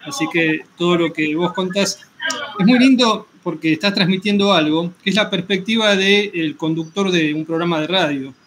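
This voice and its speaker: A man with an Argentine accent, a workshop teacher and radio host, speaking reflectively and informally in wordy, halting sentences. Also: sits high, fairly bright tone, a little hiss or hum, low-quality recording.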